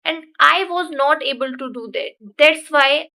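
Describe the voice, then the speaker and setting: A woman's voice: sits high, measured pace, vocal fry, pushed and bright. A young woman with an Indian English accent, a native Hindi speaker, giving an earnest motivational talk to English learners and repeating her points in simple phrasing.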